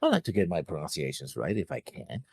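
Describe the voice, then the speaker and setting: A man's voice: sits low, wildly swooping pitch, fast, mellow, breathy edge, no echo, studio quality. A man co-hosting a reaction show, chatting casually as he reacts to what he watches.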